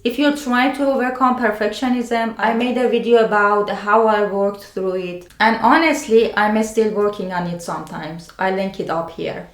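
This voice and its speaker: A woman with a non-native English accent hosts a scripted self-help video. Her pitch sits in the middle range for a woman. She talks in deliberate, short phrases with pauses, and the room adds clear echo around her voice. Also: fairly even intonation. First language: a language other than English. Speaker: video host sharing self-help advice. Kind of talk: scripted personal explainer to camera. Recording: roomy, echoing sound.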